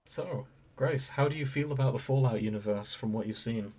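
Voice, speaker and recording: A man's voice: mid-range voice, a little fast, a little mellow, breathy edge. A man co-hosting a podcast in casual conversation, with a dry, understated sense of humour. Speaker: podcast co-host. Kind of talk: casual chat.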